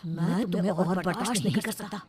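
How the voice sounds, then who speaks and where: A woman's voice: lowish voice, a little fast, a loud crowd, pitched below the performer's own voice, natural voice. A woman voicing a fed-up young husband in a scripted story, stern and reproachful. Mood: fed up, angry.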